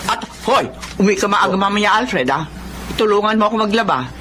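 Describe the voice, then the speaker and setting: Radio drama: stern middle-aged mother, scolding, very animated pitch, over clear ambience. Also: fairly quick. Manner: bossy, scolding. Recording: noisy background ambience.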